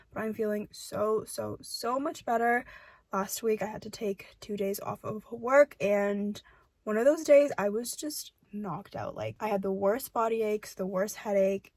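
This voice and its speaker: A woman's voice: medium pitch, darkish tone, no echo. A woman in her twenties vlogging to her camera, chatty, upbeat and candid, sometimes turning reflective. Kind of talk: casual chatty vlog.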